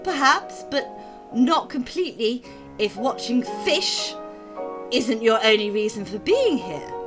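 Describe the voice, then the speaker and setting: A woman's voice: on the high side, big pitch swings, measured pace, over music. A woman playing a tipsy, sarcastic socialite wife in an audio drama, mocking and theatrical as she needles her husband. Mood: bitter, sarcastic. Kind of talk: amateur audio drama acting.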